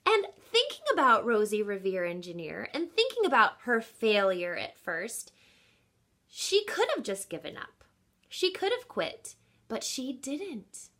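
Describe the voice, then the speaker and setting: A woman teacher reading a picture book aloud to children in an expressive, instructive way, with deliberate emphasis. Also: on the high side. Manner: teacherly, asks listeners questions, deliberate emphasis.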